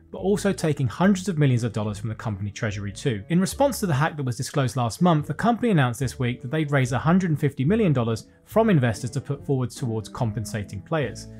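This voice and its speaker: A British man in his thirties presenting a scripted finance news roundup from a small home studio, reading headlines briskly and dropping wry jokes between them. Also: medium pitch, darkish tone. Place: small home studio with desk and lights, indoors.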